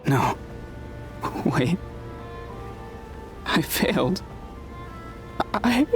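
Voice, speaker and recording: A man's voice: a little high, very animated, music in the background, exaggerated voice. A man playing a guilt-ridden young warrior in a video game, speaking in a hesitant, sincere, emotional way. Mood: distraught. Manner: hesitant, halting, earnest.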